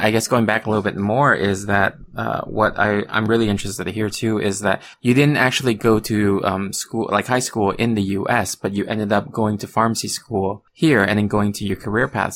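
A young adult man interviewing a guest, asking long halting questions full of restarts and fillers. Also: lowish voice, fairly bright tone, slight background noise. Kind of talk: conversational interview.

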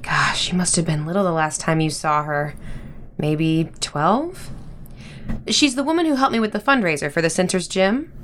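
Actress as warm, persuasive American mom, middle-aged. Slightly low register, gentle and reassuring, modern audio drama. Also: female voice, normal speed, slight background noise, lightly acted. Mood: light, chatty. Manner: reassuring, coaxing.

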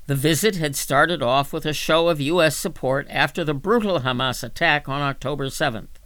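A man reading magazine articles aloud as scripted narration, in a measured, deliberate manner. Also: fairly high pitch, a bit bright. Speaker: volunteer magazine reader for a reading service.

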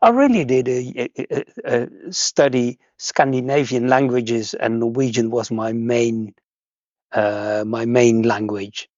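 A man from the Netherlands, a guest host, chatting casually in halting, hesitant English with many restarts. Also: medium pitch, a little mellow, no room sound, highs cut off. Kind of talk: casual chat.

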